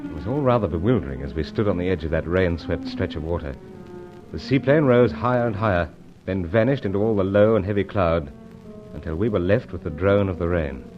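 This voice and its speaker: An actor playing an unflappable British secret agent, with clipped, understated gentlemanly speech, in a vintage adventure radio serial. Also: male voice, sits low, expressive, fairly quick, music in the background. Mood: grim, suspenseful. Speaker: lead character and narrator. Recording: dull vintage radio sound.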